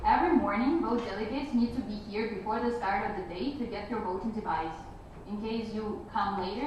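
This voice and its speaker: A woman from Germany chairs an assembly with an informal, upbeat manner. Her medium-pitched voice rings heavily around a large room and is picked up from far off, with clear noise around it. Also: a little mellow. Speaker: main chair of a student assembly. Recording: very echoey hall, distant, clear noise.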